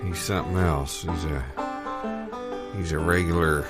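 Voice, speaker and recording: A folksy small-town American man acted by an improv player talks in a deep voice over loud plucked string music. The delivery is deadpan and unhurried, with slow, proud admiration. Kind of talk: improvised deadpan audio comedy. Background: banjo or guitar music.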